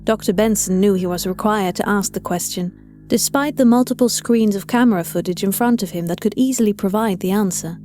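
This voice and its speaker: A woman's voice: medium pitch, brisk, mellow. A woman reading scripted audio fiction as a story narrator, with music behind her.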